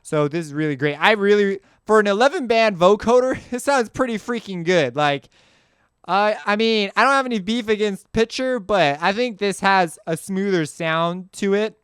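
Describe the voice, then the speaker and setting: A man giving a casual, off-the-cuff software walkthrough as a tutorial host, informal and slangy, rambling as he goes. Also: high-pitched, expressive, moderate pace, clean tone, dry recording, studio quality, faint music behind.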